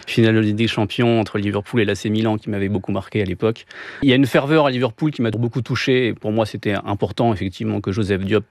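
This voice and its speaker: A man's voice: on the low side, fast talker, darkish tone, dry recording. A man in his thirties with a French accent from France, explaining his thoughts reflectively as a novelist being interviewed. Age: 30s. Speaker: guest, novelist. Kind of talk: interview conversation.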